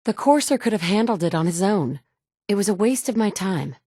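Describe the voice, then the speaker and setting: A blunt, sardonic wasteland survivor. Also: female voice, mid-range voice, expressive, fairly quick, dark tone, husky, no echo. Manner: dry and blunt, with sarcastic asides. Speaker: player character. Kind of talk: video game voice acting. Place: studio booth. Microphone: close studio mic.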